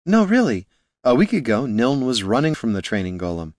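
An encouraging, optimistic woman as a male narrator plays her. She sounds reassuring, with a lifted, animated pitch suited to a fantasy storybook. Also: fairly quick, no echo, clean studio sound.

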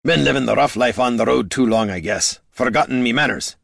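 A man voicing a gruff, brawling male dwarf warrior with a blunt, unbothered way of talking, in a fantasy audiobook. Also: medium pitch, a little fast, a bit bright, no echo, studio quality, pitched above the performer's own voice.

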